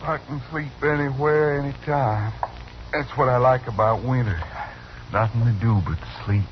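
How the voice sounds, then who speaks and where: Mid-pitched male, actor as woebegone frontier drifter, folksy, childlike earnestness, old radio western, dull sound, faint engine hum. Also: moderate pace, natural voice. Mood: drowsy, content. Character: simple, woebegone, earnest Old West buffalo skinner drifter.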